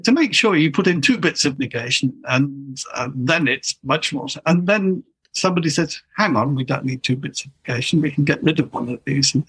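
Husky older man explaining grammar. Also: fairly high pitch, lively intonation, fairly quick, fairly bright tone, low-quality recording. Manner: explanatory, wry, chuckling. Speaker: guest linguist. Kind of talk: casual interview explanation.

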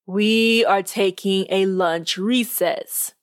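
A woman voicing a stern, vexed old male judge with a gravelly voice, slow and emphatic. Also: mid-range voice, no room sound, clean studio sound, pitched below the performer's own voice, lightly acted.

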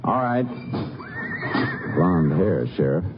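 Low-voiced, terse Texas Ranger. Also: male voice, slow, noisy background, heavily acted. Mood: measured, probing. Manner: clipped, matter-of-fact, deadpan. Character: calm, terse Texas Ranger lawman questioning a suspect. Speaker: lead actor and narrator. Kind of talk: 1950s radio drama acting and narration. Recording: muffled vintage radio recording with music.

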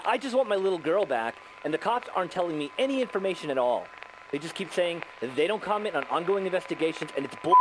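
A man's voice: on the high side, background noise, close to an everyday voice. A man acting a distraught middle-aged father, pleading and frustrated, in an audio drama news interview. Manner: pleading, frustrated, plain-spoken. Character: distraught, angry father of a missing daughter.

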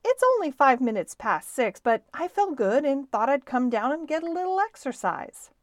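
A tiny storybook mouse boy performed by a woman reader, proud and boastful. The voice sits high and jumps about eagerly, with emphatic delivery. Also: big pitch swings, normal speed, no room sound, clean studio sound. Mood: eager boasting. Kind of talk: children's storybook reading.

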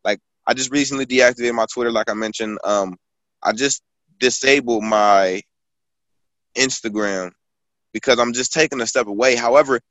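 A man's voice: medium pitch, steady tone, normal speed, fairly bright tone, dry recording, poor audio. A man in his twenties, a guest author and poet, talking casually in a rambling storytelling way, full of fillers and restarts. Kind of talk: casual conversation.

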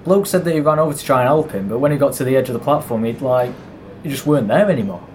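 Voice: mid-range voice, expressive, very fast, darkish tone, close to an everyday voice. A performer playing a gossipy, grumbling male railway worker in an amateur audio drama. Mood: gossipy, uneasy. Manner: colloquial, conversational. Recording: faint background noise and ambience.

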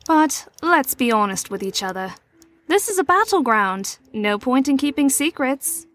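A woman playing a shrewd, teasing adult mentor to troubled teens in an audio drama, with a measured, knowing, probing delivery. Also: high-pitched, animated, fairly bright tone.

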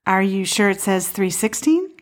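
An actress playing a skeptical but helpful apartment dweller in an audio play, speaking in a hesitant, conversational way. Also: female voice, mid-range voice, expressive, moderate pace, close to an everyday voice.

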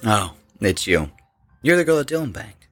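An actor playing a hostile, dismissive rock band member in an audio drama, crude and evasive, with a sneering, slangy delivery. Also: male voice, fairly low pitch, big pitch swings, moderate pace, fairly bright tone, dry recording. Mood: surly.

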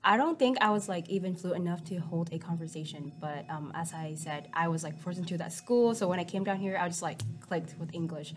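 A young woman from Vietnam who grew up speaking Vietnamese talks in a fairly low voice. She chats casually and fills her sentences with "like.". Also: a little fast, hardly any pauses, soft background music. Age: teens. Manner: chatty, frequent "like" fillers. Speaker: guest student. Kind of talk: casual conversation.

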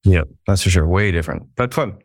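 A man's voice: low-pitched, fast talker, dry recording. A Canadian man with a Canadian accent, a guest on a podcast, who chats in an easygoing way with self-deprecating humor. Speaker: customer support engineer, guest. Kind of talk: casual podcast chat.

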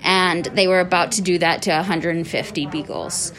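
A woman's voice: lowish voice, steady tone, normal speed, a bit bright, low-quality recording. A woman speaking as an interviewee, answering in a conversational, unscripted way. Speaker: interviewee, animal rescue store manager.

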